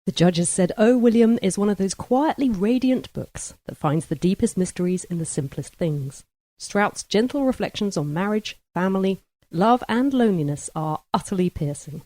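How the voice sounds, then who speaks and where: A woman's voice: medium pitch, animated, moderate pace, mellow, no room sound, low-quality recording. A woman hosting a conversational book podcast, inquisitive and drawing her guests out. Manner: inquisitive, draws guests out with questions. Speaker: podcast host.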